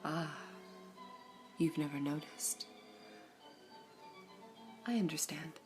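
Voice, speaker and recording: A woman voicing a serene all-seeing female gem in an ASMR roleplay, whispering softly in a measured, formal way. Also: low voice, faint music behind. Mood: calm whispering.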